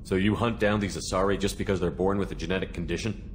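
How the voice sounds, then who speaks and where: An actor playing a direct, businesslike military commander in a video game, speaking plainly and asking pointed questions. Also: male voice, deep voice, steady tone, fast, a little hiss or hum, lightly acted. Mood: probing, concerned. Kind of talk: video game dialogue acting.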